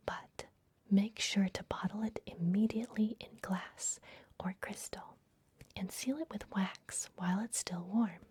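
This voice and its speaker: A woman voicing a secretive, gentle vampire librarian in a breathy, soothing whisper for an ASMR fantasy roleplay. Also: medium pitch. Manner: slow, soothing, confiding.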